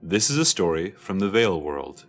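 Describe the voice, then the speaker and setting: A man voicing an ageless, wistful immortal who tells a first-person fantasy tale in a solemn, measured way. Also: deep voice, big pitch swings, fairly bright tone, faint music behind, full character voice. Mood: wistful, admiring. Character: ageless, wistful immortal recounting an old jealous friendship.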